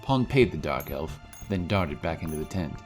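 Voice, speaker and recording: A man's voice: a bass voice, animated, normal speed, a little mellow, faint music behind. A man giving dramatic scripted narration of a fantasy story.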